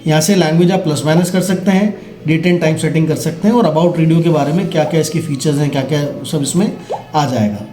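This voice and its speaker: Indian guy explaining a gadget step by step, kinda high voice, roomy echo, some music behind. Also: fairly quick, dark tone. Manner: explanatory, checks in with listeners using tag questions. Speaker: product demonstrator. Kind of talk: casual instructional product demo.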